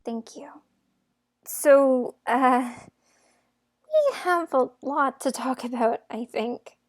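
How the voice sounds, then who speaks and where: Young fairytale heroine female actor, high voice, outspoken and emphatic, amateur radio-play delivery. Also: slow pace. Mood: softer, sincere. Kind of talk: amateur audio drama acting.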